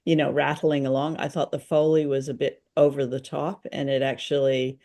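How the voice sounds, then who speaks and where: A woman in her sixties co-hosting a casual review chat on a video call, with halting phrasing. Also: rumbling low, flat delivery, mellow. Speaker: show co-host. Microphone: video call, wearing headphones.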